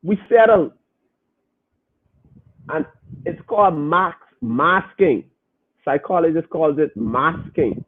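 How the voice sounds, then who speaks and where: A man's voice: a little high, lively intonation, slow, creaky, pauses often, slightly rough. A man hosting a podcast, talking emphatically and preaching directly to his listeners as he reacts to their comments. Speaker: podcast host. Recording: phone-like, narrow band.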